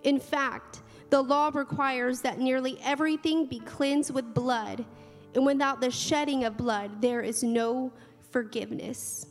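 A woman leading a church service, reading scripture and a communion prayer in a slow, measured way. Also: high-pitched, fairly even intonation. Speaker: communion leader. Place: large church hall. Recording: church hall reverb with soft music.

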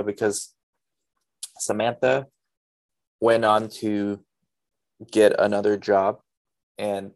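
A man's voice: on the low side, creaky. A man hosting a casual interview in an informal, chatty way. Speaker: podcast host.

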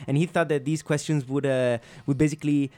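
A man's voice: a little high, little pitch movement, fast talker, dark tone. A man hosting a radio interview show, enthusiastic and effusive with his guests.

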